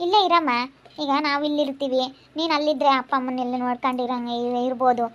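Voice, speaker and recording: Woman as forgiving elder sister, very high pitch, consoling, concerned, insistent, amateur drama. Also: flat delivery, quick pace, a bit bright, lo-fi sound, a little hiss or hum.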